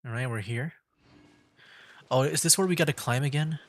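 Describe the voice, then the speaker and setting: A man's voice: mid-range voice, normal speed, no room sound. A man livestreaming a video game, rambling casually and thinking aloud as he plays. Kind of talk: casual gameplay commentary. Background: game music.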